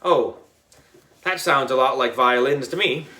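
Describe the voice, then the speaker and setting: A man's voice: medium pitch, measured pace, echoey room, far from the mic. A man voicing a cheeky, wisecracking schoolboy who blurts out his lines in a children's storybook. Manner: excitable, blurting.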